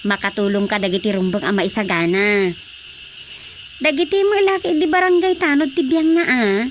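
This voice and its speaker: A woman acting a practical, fussing member of a village family, animated, in a Filipino radio drama comedy. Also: on the high side, normal speed, natural voice. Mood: practical, concerned. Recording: steady faint background noise.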